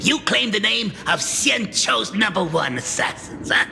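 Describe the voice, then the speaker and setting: A man's voice: high-pitched, animated, a little slow, gravelly, bright, forceful tone, full character voice. An actor playing a sly, flamboyant male gang boss who cackles and wheedles through a theatrical game scene. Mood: scheming. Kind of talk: video game voice acting. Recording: game audio with music, long reverb.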